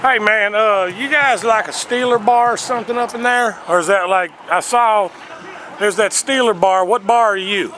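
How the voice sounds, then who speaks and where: A man's voice: high-pitched, normal speed, pushed and bright. A man in his thirties putting on a brash, bossy, rambling prank caller who badgers and throws absurd insults. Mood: pushy, demanding. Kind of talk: improvised prank phone call. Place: outdoors on a city sidewalk. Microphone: handheld camera up close. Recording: noisy outdoor street with traffic.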